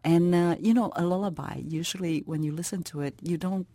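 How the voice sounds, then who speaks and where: A middle-aged woman from Vietnam, an author being interviewed, with a big, expressive voice and frequent laughter. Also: fairly low pitch, brisk, slight background noise. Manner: expressive, lively, many restarts. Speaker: author, guest. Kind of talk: interview conversation.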